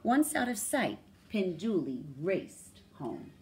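Expressive, lower-voiced woman. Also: slow. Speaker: read-aloud host. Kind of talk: storybook reading with vocabulary explanations. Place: small room indoors.

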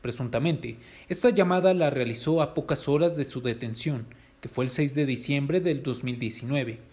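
A man's voice: mid-range voice, normal speed, darkish tone. A man with a Mexican accent reading scripted news narration.

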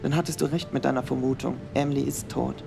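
An actor playing a level-headed young student who takes the lead in a German audio drama. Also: male voice, medium pitch, fairly even intonation, fast talker, creaky, over music.

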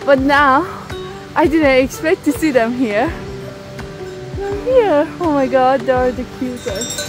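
Travel vlogger, woman, outdoors on a trail, slow and upbeat, music bed behind. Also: high voice, expressive, poor audio. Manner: enthusiastic, exclaims at views. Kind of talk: casual vlog narration while hiking.

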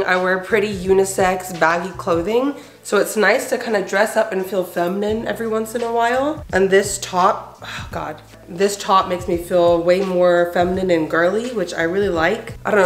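A young woman in her twenties making a casual, chatty crafting vlog at home, enthusiastic and full of fillers. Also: medium pitch. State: head cold, nasal. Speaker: crochet vlogger. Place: bedroom and living room at home.

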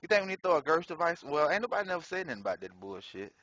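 A man's voice: fairly high pitch, very animated, racing, bright, forceful tone, no room sound, lo-fi sound. A man casually commenting on his gameplay in a slangy, profane way, laughing often. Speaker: gameplay streamer.

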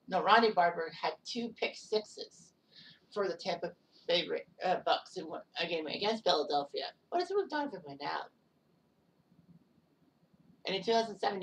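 A woman in her thirties narrating sports history casually, rambling with offhand asides and quick self-corrections. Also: fairly low pitch, vocal fry, distant mic, a little hiss or hum, poor audio. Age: 30s.

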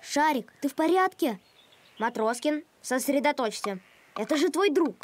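An actor voicing a sensible, earnest young boy in an animated series, reasoning and encouraging like a peacemaker. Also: high voice, moderate pace. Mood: concerned.

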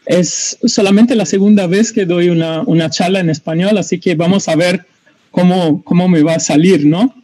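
A middle-aged man with a Brazilian accent speaks over a video call, his voice fairly high and mellow. A native Portuguese speaker presenting at a panel, he talks hesitantly, often repeating words as he reaches for them. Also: steady tone, normal speed, breathy edge. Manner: hesitant, repeats words while searching in Spanish. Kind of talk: online conference talk.

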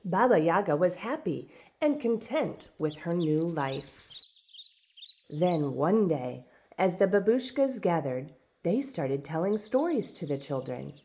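A woman reading a storybook aloud in expressive, deliberate, dramatic narration. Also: on the low side. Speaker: storybook reader. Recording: background noise with birdsong.

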